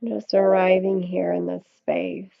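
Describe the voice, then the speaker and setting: Slow-spoken woman yoga teacher, thin phone-like sound. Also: lowish voice, monotone, creaky, dry recording. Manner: soothing, unhurried, with long pauses between short phrases. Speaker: yoga teacher leading a class. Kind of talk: slow guided yoga and meditation instruction. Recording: thin, phone-like band-limited sound, dry.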